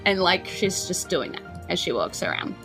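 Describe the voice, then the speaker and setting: A woman's voice: on the low side, very animated, normal speed, a bit bright, poor audio. A woman with a New Zealand accent casually narrating a tabletop fantasy game as game master, with music behind her.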